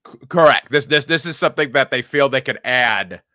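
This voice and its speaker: A man's voice: mid-range voice, expressive, brisk, pushed and bright, no echo, studio quality. A man in casual podcast banter, a comedian telling about his act in a wry, joking way with stumbles and restarts.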